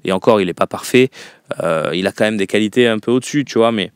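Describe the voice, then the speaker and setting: A man's voice: fairly low pitch, quick pace, clean tone, dry recording, studio quality. A man hosting a football call-in show, giving emphatic, opinionated takes with frequent fillers. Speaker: radio call-in show host. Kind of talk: casual football phone-in talk.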